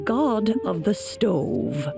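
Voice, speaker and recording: Slow, creaky, wise female master. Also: medium pitch, sing-song, heavily acted. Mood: amused, knowing. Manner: deliberate, theatrical, knowing. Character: wise, serene, mysterious older female master. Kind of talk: scripted anime game dialogue. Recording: music under the voice. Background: game background music.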